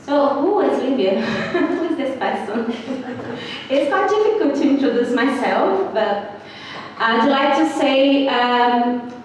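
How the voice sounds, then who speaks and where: Slow giggly Brazilian woman in echoey hall. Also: sits high, animated, slight background noise. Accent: Brazilian Portuguese. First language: Portuguese. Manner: hesitant, giggly, many fillers. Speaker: guest speaker, journalist. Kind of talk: live public talk. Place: live event venue indoors. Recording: echoey hall, distant mic.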